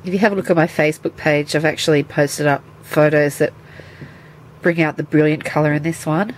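A woman's voice: sits low, steady tone, a little fast, low-quality recording. A woman with a stuffy, nasal voice from a cold, chatting casually while she shows her pets to a handheld camera at home. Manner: chatty, explains as she handles the animals. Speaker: pet keeper showing her animals. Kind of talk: casual show-and-tell vlog. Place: indoors at home, by a terrarium.

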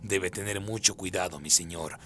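A man's voice: sits low, normal speed, pushed and bright, slight background noise. A man voicing a deferential, cautious demon servant in a radio play, wary in manner. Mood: worried.

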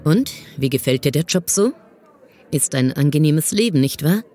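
A woman's voice: low voice, lively intonation, normal speed, mellow. A woman playing a cynical, patronising police superior with veiled menace in a fan audio drama. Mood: amused, probing.

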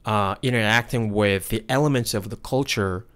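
Man from Korea, thirties, podcast co-host, thoughtful, halting with fillers, studio mic. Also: fairly low pitch, fairly bright tone, clean tone. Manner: thoughtful, explains with many fillers. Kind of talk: casual podcast conversation. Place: studio room. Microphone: studio mic on desk stand.

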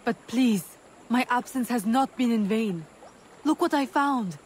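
Game casting: earnest young assassin, determined, lively intonation, slightly high voice. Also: female voice, a little slow, slight background noise. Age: young adult. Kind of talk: video game cutscene acting.

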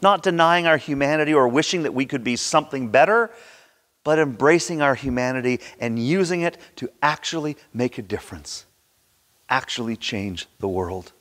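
A man's voice: medium pitch, animated, fairly bright tone. Older male preacher in a reverberant hall. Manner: theatrical, emphatic delivery with dramatic pauses. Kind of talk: sermon. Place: large church sanctuary, indoors at a pulpit.

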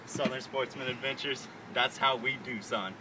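A man's voice: mid-range voice, moderate pace. A young man hosting his own fishing video on a waterside dock, talking casually and cheering his buddy on. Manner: laid-back, turning into loud excited cheering when a fish bites. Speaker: fishing guide and show host. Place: outdoors on a boat dock by the water. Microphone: camera some distance away. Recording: distant camera mic with loud boat and water noise.

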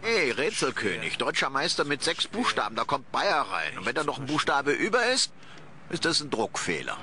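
A cheeky, teasing man dubs football clips, his voice swooping widely in pitch and sitting a bit high. Clear stadium noise sits behind him. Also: wildly swooping pitch, moderate pace. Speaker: comedy dubber. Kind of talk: comic dub of football footage.

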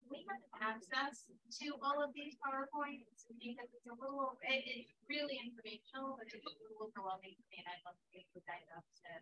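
A woman in the audience asks a halting, tentative question. Her voice is bright and fairly high, with a slightly dull, muffled sound. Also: a little hiss or hum. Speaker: audience member. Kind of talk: asking a question.

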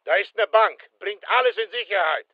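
A man's voice: sits high, normal speed, no room sound, narrow, tinny audio, natural voice. A male actor playing a terse special forces soldier in a military shooter game, giving clipped, commanding callouts. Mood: terse, businesslike.

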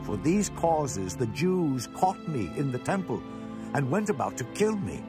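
A man's voice: medium pitch, sing-song, a little slow. A man playing an earnest, impassioned prisoner defending himself in a solemn, declamatory scripture drama. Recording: prominent music bed under voice.

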